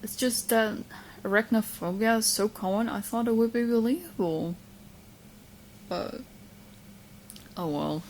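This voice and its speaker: A woman playing a sweet, clingy, lovestruck young witch in a soft, intimate ASMR roleplay, speaking in hesitant, doting phrases. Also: fairly high pitch, unhurried, pauses often, a little hiss or hum, low-quality recording. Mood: resigned, offering.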